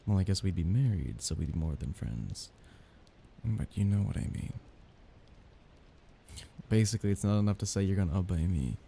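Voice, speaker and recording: A man playing a soft-hearted, nervous young mafia heir in an intimate ASMR romance roleplay, speaking softly, haltingly and apologetically. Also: low-pitched, animated, creaky, a little hiss or hum. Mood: earnest, protective.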